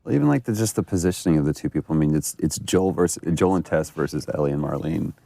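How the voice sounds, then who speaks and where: A man with a husky, gravelly voice giving casual commentary on a game. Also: very deep voice, expressive, quick pace, warm tone, dry recording. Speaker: commentator who worked on the game.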